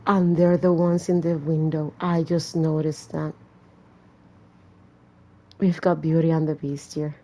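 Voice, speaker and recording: Low-pitched, creaky-voiced woman gamer. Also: level tone, unhurried, pauses often, mellow. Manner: casual, chatty, joking asides. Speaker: gamer playing and reading aloud a horror visual novel. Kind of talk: gameplay commentary mixed with story reading.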